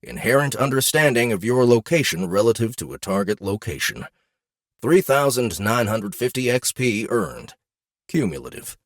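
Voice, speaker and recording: A man's voice: medium pitch, very animated, normal speed, dry recording, clean studio sound. A man playing a formal game-system announcer, reading clipped notices in a fantasy audiobook. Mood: announcing.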